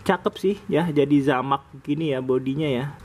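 Host, male, slightly high voice, easygoing chatty toy reviewer, faint room hum behind. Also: normal speed. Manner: relaxed, chatty, sprinkled with "guys". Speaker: diecast toy channel host. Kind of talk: casual toy review vlog. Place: indoors at a table, off camera.

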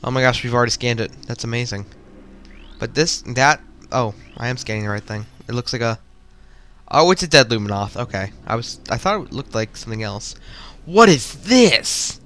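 A man giving casual, excitable, rambling commentary while playing through a video game. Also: medium pitch, moderate pace. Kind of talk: casual let's-play commentary.

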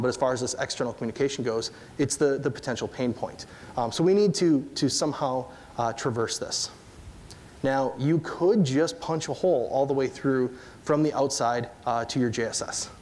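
A man in his thirties with an upper Midwest accent, giving a relaxed technical conference talk with lots of fillers and questions to the audience. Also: mid-range voice, a little hiss or hum. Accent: upper Midwest American. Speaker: conference presenter. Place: large dark stage before an audience.

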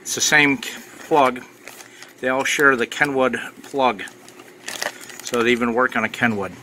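A man's voice: mid-range voice, fairly even intonation, unhurried, pushed and bright, slight background noise. A man giving casual, off-the-cuff unboxing commentary as a product reviewer. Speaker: ham radio reviewer.

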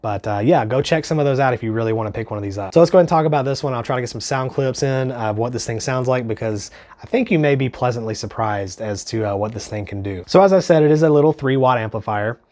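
A young man whose voice sounds stuffy and congested from a cold talks straight to the camera in a small home studio. His review is casual and earnest, sprinkled with ums and little restarts, pitched in an ordinary middle range for a man. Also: fast, darkish tone. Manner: chatty, filler-heavy, earnest. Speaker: gear reviewer.

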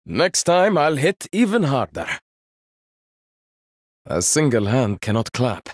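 Casting: proud young game hero, inventor-warrior, dry wit, slow deliberate delivery, lively pitch, dry close studio sound. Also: male voice, dry recording. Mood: confident. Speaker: game character. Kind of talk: video game voice lines.

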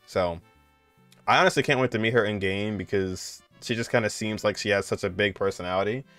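Young man, low voice, clean resonant tone, casual reaction commentary, faint music behind. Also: brisk, fairly bright tone, clear, resonant tone. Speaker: video creator reacting to a game trailer.

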